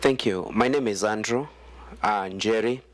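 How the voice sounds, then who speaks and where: A man's voice: lowish voice, a little slow. Kenyan man asking a formal question. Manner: formal, building his point. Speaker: audience member asking a question. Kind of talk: question from the floor.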